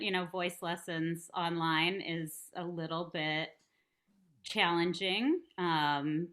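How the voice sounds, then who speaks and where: A woman's voice: on the low side, pushed and bright. A woman talking casually as a guest on a group video call. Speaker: guest, performer and voice teacher.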